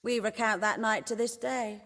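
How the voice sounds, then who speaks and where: A woman's voice: a little high, steady tone, moderate pace, fairly bright tone. A woman playing a flirtatious, courtly hostess of a pleasure house in a fantasy video game, with a theatrical way of talking. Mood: coy, welcoming. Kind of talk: dramatic video game dialogue.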